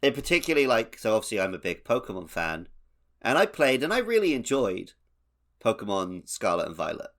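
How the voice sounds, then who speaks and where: A man co-hosting a podcast, talking casually and emphatically in animated conversation. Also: lowish voice, fairly quick. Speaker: podcast co-host. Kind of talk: casual video game podcast discussion.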